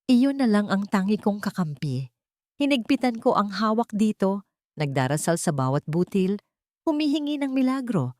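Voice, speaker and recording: A woman's voice: mid-range voice, big pitch swings, normal speed, darkish tone, dry recording, clean studio sound. A woman with a Filipino accent narrating a scripted first-person story in a solemn, dramatic way.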